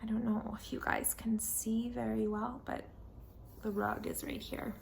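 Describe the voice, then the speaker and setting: A woman's voice: a little high, level tone, gravelly, noisy background. Woman reading a picture book expressively. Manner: dramatic emphasis and pauses. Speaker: children's storybook reader.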